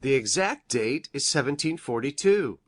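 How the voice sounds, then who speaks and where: A woman's voice: rumbling low, animated, normal speed, husky, pitched below the performer's own voice, full character voice. A woman voicing an eager, eccentric male country doctor in an audiobook, speaking with formal, precise, effusive earnestness. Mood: solemn, precise. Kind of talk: audiobook character voice.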